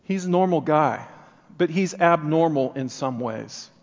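A man with a somewhat high voice preaches a sermon in an earnest manner. His phrases build in force and then drop back to quieter stretches. The sound is dull and muffled, with a long reverb trailing through the church. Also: animated, a little slow. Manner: earnest, rising and falling emphasis.

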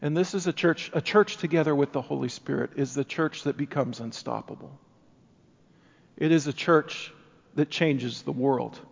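A man with a somewhat high voice preaches a sermon in an earnest manner. His phrases build in force and then drop back to quieter stretches. The sound is dull and muffled, with a long reverb trailing through the church. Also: normal speed, creaky. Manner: earnest, rising and falling emphasis.

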